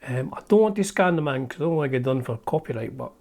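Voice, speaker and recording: A man's voice: mid-range voice, expressive, fast, gravelly, darkish tone. A middle-aged man with a Scottish accent, hosting and chatting casually as he flips through an old magazine, rambling with frequent ums and restarts. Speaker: host.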